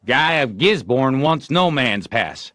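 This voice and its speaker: A man's voice: medium pitch, sing-song, takes it slowly, sharp tone, no echo, studio quality, voice pushed up, full character voice. A man voicing a defiant, stubborn young boy in a storybook, theatrically playing a haughty knight. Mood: haughty, challenging. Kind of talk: acted storybook dialogue.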